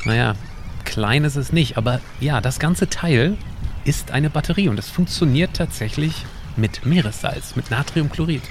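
A man's voice: medium pitch, animated, moderate pace, a little hiss or hum, lightly acted. A man playing a curious, chatty time traveller in an educational audio drama, explaining things in a conversational way. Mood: relaxed, explaining. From Baltic coast, northern Germany.

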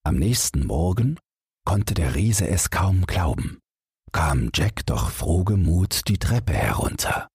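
A man's voice: sits low, very animated, slow, creaky, darkish tone, husky, no room sound, studio quality. A man narrating a scripted bedtime fairy tale as an audiobook reader.

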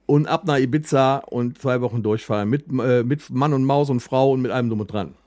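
A man's voice: mid-range voice, brisk, darkish tone, no echo, clean studio sound. A man chatting casually as a podcast co-host, blunt and colloquial, with some swearing. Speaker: podcast co-host and band member.

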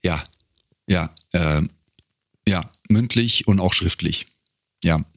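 Very deep-voiced man talking thoughtfully. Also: no echo, clean studio sound. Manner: thoughtful, halting, searching for words. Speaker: interview guest, professional voice artist and singer. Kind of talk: casual interview talk.

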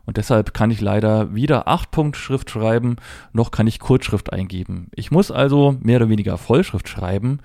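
A man's voice: on the low side, normal speed, gravelly, mellow, no room sound, studio quality. A middle-aged man giving a conversational, step-by-step tech tutorial, explaining and spelling things out as he demonstrates a device. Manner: spells out letters and dot numbers step by step. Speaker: presenter of a tech tutorial for Braille users.

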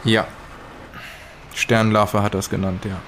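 A man's voice: lowish voice, level tone, slow pace, fairly bright tone, slight background noise. A man playing a reluctant heir in an improvised audio play, talking hesitantly with a dry, wry manner. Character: dry, reluctant male heir, uneasy about a treasure.

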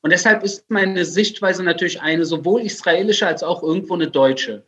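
A man's voice: sits high, normal speed, a bit bright, muffled recording. A man in his forties from Germany, explaining things conversationally as an interview guest over a remote connection. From Germany, Berlin. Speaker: interview guest, writer and military spokesman.

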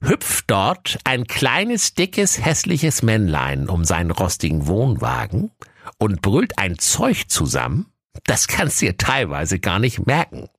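A performer playing a gruff, dim retired male police inspector, ponderous and deadpan, in a comic fairy tale audio play. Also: mid-range voice, takes it slowly, dry recording, studio quality, exaggerated voice. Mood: ponderous, mysterious.

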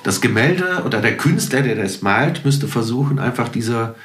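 A man's voice: medium pitch, lively intonation, moderate pace, fairly bright tone. Enthusiastic man in an echoey room. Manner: enthusiastic, anecdotal. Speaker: podcast guest; musician. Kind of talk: casual interview chat. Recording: roomy, echoey sound.

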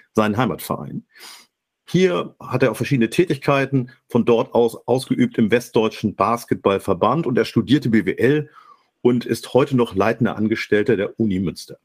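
A man's voice: medium pitch, a little mellow, no room sound. Brief: podcast host, male, slightly nasal from a cold, dry close mic, welcoming and formal. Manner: friendly, formal introductions. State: stuffy nose. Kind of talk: casual podcast talk. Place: home studio, remote call.

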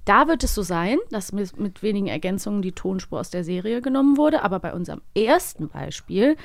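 A woman's voice: mid-range voice, animated, brisk, vocal fry, no room sound. A woman co-hosting a podcast in casual, chatty banter. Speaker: podcast co-host. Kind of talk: casual banter about audio dramas.

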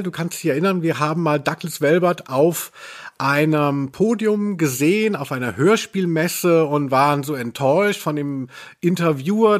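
A man hosting a podcast, chatting casually about audio dramas. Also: on the high side. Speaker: podcast host.

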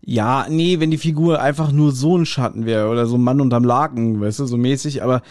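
A man's voice: medium pitch, fairly quick, darkish tone, no echo, studio quality. A man co-hosting a podcast, chatting casually in blunt, slangy language. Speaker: podcast co-host. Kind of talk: casual chat reviewing an audio drama. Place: remote recording at a computer, on video call.

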